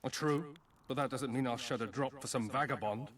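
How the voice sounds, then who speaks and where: A man's voice: mid-range voice, fairly quick, recorded from a distance, natural voice. A male actor playing a haughty, suspicious prince in a fantasy video game, speaking formally and dismissively. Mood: haughty, indignant.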